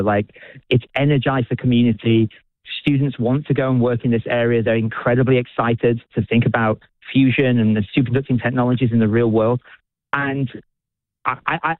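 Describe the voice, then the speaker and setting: A man's voice: medium pitch, no room sound. British man on a tinny phone line. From United Kingdom. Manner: enthusiastic, halting, many restarts and fillers. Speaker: physicist and researcher. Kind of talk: radio interview guest.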